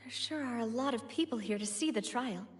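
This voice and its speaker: A woman's voice: fairly high pitch, brisk, big-room sound, soft background music, natural voice. A woman voicing a polite, thoughtful young lady in a video game, often hesitant and musing. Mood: confused, uneasy.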